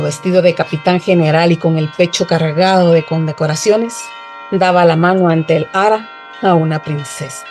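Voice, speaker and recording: A woman's voice: on the low side, darkish tone. A woman narrating a literary story for a radio drama. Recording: music underneath.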